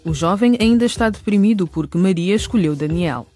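A woman's voice: mid-range voice, fairly quick, warm tone. Woman narrator over music. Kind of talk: scripted radio drama narration.

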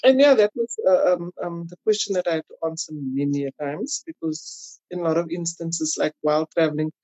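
Muffled, husky South African woman. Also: lowish voice, very animated, brisk, dark tone, no echo. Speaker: podcast guest. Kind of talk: casual interview chat, telling personal stories.

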